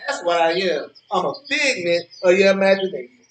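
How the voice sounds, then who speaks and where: An actor plays an argumentative old man with a high voice in a stage play. He stammers and hammers his points by repeating them. The recording is roomy and distant, with some noise behind it. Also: big pitch swings, brisk. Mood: needling, irritable. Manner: stammering, repetitive, emphatic, argumentative. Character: cranky, worried old man bickering with his friend. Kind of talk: live stage play dialogue.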